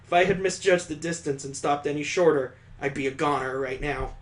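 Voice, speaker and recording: A man with a slightly high voice narrates a visual novel for a gaming audience. He reads the prose with drama, then drops into incredulous, amused commentary of his own. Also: fairly quick, a little hiss or hum. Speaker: gaming channel host doing a let's play. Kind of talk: reading visual novel text aloud with joking asides.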